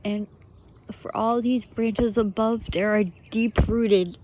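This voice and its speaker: A woman giving an earnest, unscripted spiritual pep talk in short, halting phrases, often posing rhetorical questions. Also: on the high side, steady tone, a little mellow, slight background noise. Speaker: motivational speaker, vlogger.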